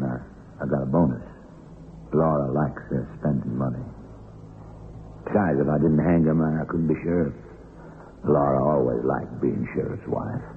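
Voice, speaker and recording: A rock-bottom deep, weary older sheriff with a folksy voice, brooding over a guilty conscience in an old radio play. The sound is narrow and tinny, with light hiss. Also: male voice. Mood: bitter, weary. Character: weary, guilt-ridden small-town sheriff and hangman nearing retirement. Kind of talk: old-time radio drama acting.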